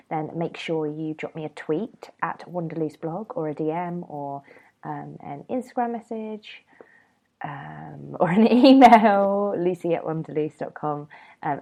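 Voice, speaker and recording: Low gravelly woman with a cold. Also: warm tone. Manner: rambling, chatty, many ums and restarts. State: has a cold, slightly nasal. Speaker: podcast host. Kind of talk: casual solo podcast chat.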